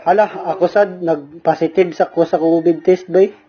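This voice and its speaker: A man's voice: on the high side, steady tone, normal speed, close to an everyday voice. A man playing a restless young guy with a Filipino accent, bantering in slang with friends in an amateur radio drama. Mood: concerned. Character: Ram, restless young man eager to hang out. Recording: dull lo-fi audio under loud music.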